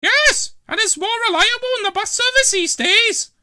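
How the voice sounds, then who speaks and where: A man voicing a grumbling, easily flustered village woman in a shrill falsetto for a children's storybook. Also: expressive, normal speed, bright, forceful tone, no echo, studio quality, full character voice. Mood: retorting.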